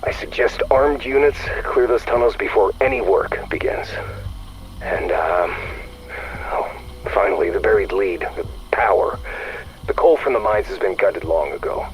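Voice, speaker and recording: A man playing a fed-up, foul-mouthed surveyor dictating a radio report in a game, halting and exasperated. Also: fairly low pitch, close to an everyday voice, rough recording. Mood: weary exasperation. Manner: halting, exasperated, full of hesitations.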